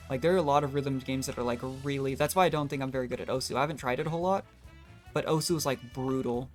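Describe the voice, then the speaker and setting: Young man, mid-pitched voice, casual game streamer commentary, easygoing rambling delivery, faint game music behind. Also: rapid-fire. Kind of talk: casual live gaming commentary.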